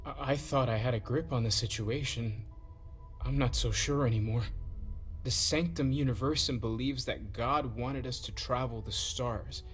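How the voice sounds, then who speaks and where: A man's voice: medium pitch, moderate pace, faint music behind, close to an everyday voice. A man voicing a devout, searching space explorer in a video game, earnest and hesitant with wonder. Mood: awed, unsure. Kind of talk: dramatic game dialogue.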